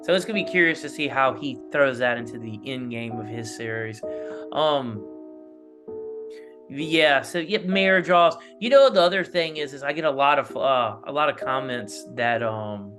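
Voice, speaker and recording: A man's voice: medium pitch, animated, moderate pace. A man speaking as an interview guest in casual, rambling conversation, often checking in with "you know". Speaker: interview guest, analog horror creator. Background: plucked guitar music.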